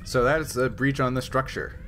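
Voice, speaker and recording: A man with a medium-pitched voice acts as game master for a tabletop roleplaying game. He explains rules and tallies in a halting, think-aloud way. There is faint noise behind him. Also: a bit bright. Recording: noisy background.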